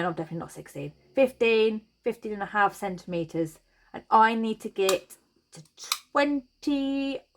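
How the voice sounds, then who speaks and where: A woman hosting a crochet tutorial, chatty and reassuring as she talks through each step, her voice a little croaky. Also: medium pitch, a bit bright. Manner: chatty and reassuring, thinks aloud while working. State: slightly croaky voice.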